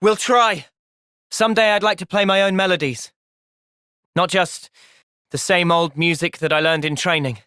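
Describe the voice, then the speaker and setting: A young man acting an earnest, thoughtful soldier hero in a video game cutscene, speaking in a measured, sincere way. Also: high voice, bright, forceful tone, clean tone, no room sound, studio quality. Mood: gentle, thoughtful.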